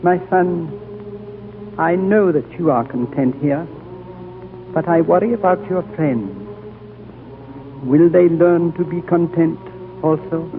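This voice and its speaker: Grave old high lama, slow prophetic delivery, slightly high voice, tinny old radio sound. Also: male voice, noisy background. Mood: solemn. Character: ancient wise male high lama, solemn and gentle. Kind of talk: 1930s radio drama acting. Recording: old phone-like radio broadcast, faint music.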